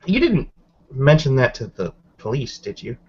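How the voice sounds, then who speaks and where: A man voicing a cautious, questioning woman in a tabletop roleplaying game, speaking in a measured, hesitant way. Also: mid-range voice, very animated, slightly rough, highs cut off. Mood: probing.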